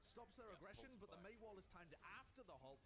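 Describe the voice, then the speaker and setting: A man's voice: high voice, sing-song, fast talker, fairly bright tone. A man casting an esports match, explaining tactics in detail, heard from a TV broadcast. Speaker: esports caster. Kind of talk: esports analysis commentary.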